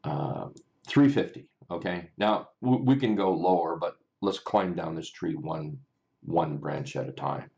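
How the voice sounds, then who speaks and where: A man hosting a live stock market chart session, chatty and rambling, correcting himself often, with a raspy morning voice. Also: sits low, measured pace, darkish tone, dull audio. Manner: chatty, rambling, self-correcting, greets viewers by name. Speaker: stock market livestream host. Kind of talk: casual live chart commentary.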